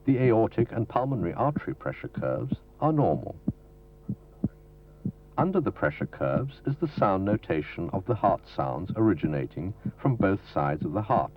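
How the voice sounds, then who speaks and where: Deep-voiced man narrating a dated training film. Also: big pitch swings. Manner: formal, measured, didactic delivery. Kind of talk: scripted educational narration. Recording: dull lo-fi 1970s film soundtrack from videotape.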